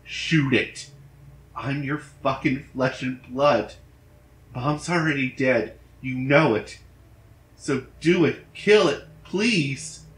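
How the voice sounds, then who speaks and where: A man's voice: mid-range voice, takes it slowly, pitched above the performer's own voice, lightly acted. A man voicing a frantic, angry college-age son urgently begging his father in a horror story. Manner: urgent, forceful, swearing. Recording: roomy echo, background noise.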